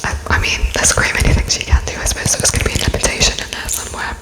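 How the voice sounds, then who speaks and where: A woman whispering an ASMR roleplay as an interviewer, halting and pausing as she takes notes, politely echoing answers back. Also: a bit bright. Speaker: ASMR performer playing an interviewer.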